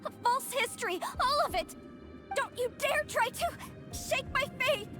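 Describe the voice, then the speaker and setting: A defiant, devout believer. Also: female voice, extremely high pitch, animated, a little slow. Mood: defiant denial. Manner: shaken, denying, defiant. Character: devout woman clinging to her faith, defiant. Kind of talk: game cutscene voice acting. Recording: game music under the voice.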